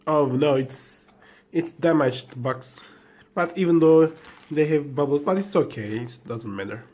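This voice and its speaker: A man whose first language is Turkish, unboxing gadgets in a halting, filler-heavy way, just woken up. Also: fairly high pitch, mellow. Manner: hesitant, halting, with many fillers and restarts. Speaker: tech vlogger. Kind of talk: unboxing commentary.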